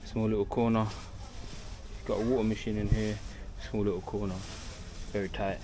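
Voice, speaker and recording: A man's voice: on the low side, flat delivery, moderate pace, mellow. A man from London with a London accent, chatting casually and informally to his viewers as he films a travel vlog. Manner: friendly, informal, addressing viewers as guys. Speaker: travel vlogger. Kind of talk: casual walking vlog chat. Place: walking through an outdoor bazaar and its shops. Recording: busy street and bazaar crowd noise.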